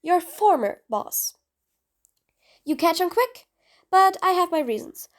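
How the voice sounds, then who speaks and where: Woman acting high-pitched, theatrical scheming supervillain. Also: expressive, slow, full character voice. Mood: commanding, persuasive. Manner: theatrical, mocking, formal wordy phrasing. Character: sly supervillain, theatrical, impatient, scheming, grudgingly sympathetic. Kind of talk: scripted audio roleplay monologue.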